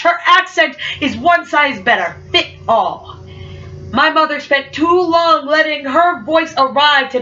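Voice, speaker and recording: A woman's voice: high-pitched, bright, forceful tone, a little hiss or hum. A young woman performing spoken word poetry, speaking with a stutter and an emphatic, rhythmic delivery. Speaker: poet. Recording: muffled, from far off.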